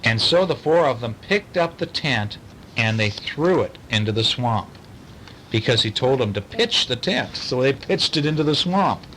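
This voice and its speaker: Male storyteller, bedtime story for children, animated intonation, chatty asides, bright tone, faint rumbly noise. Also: moderate pace, poor audio.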